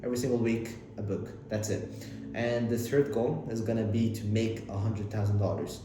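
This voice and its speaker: A young man from Lebanon whose first language is Arabic, giving casual self-improvement advice to the camera, talking fast with many restarts and fillers. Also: on the low side, fairly even intonation. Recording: very echoey room with clear background noise.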